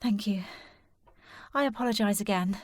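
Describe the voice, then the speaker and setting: A woman's voice: medium pitch, normal speed, dry recording, close to an everyday voice. An actress playing a polite, earnest and determined young lady in a period audio drama, with formal speech. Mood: shaken, apologetic. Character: distressed, polite, determined young lady searching for her sister.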